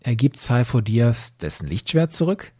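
A man's voice: medium pitch, very animated, moderate pace, warm tone, slightly rough, no echo, studio quality. A man speaking as a podcast host, giving scripted commentary on a story.

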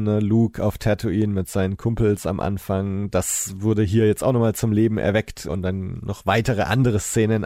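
Male podcast guest, soft mellow low tone, close dry sound, conversational and thinking aloud. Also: dry recording. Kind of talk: casual podcast discussion.

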